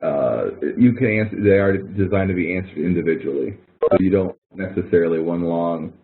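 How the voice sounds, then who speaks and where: A deep-voiced man leads an informal online class as the instructor. He explains things in a rambling, somewhat hesitant way, repeating words as he goes. The sound is narrow and tinny, like an online call. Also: normal speed. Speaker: instructor running a help webinar. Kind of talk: informal webinar walkthrough, explaining to students. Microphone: online webinar audio connection.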